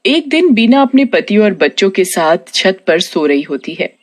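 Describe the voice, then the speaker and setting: A woman's voice: mid-range voice, very animated, normal speed. A woman reading a scripted horror story narration for a cartoon tale.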